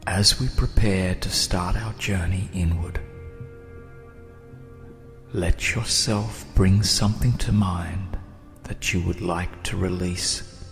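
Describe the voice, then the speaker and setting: A man with a low, even voice narrates a guided meditation over faint music. He speaks very slowly and soothingly, leaving long pauses. His phrases settle into soft, breathy endings.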